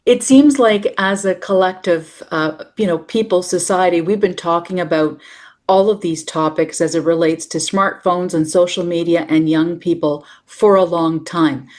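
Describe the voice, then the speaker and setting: A woman hosting a parenting podcast, introducing her guest and asking questions in a measured, polished way. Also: fairly low pitch, darkish tone. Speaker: podcast host. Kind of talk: podcast interview.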